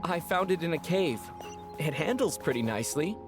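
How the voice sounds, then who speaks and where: Thoughtful young man of arms, game character. Hesitant, understated, fairly light pitch, music underneath. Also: very animated, normal speed. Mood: casual. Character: earnest, brooding young male warrior. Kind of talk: video game voice acting.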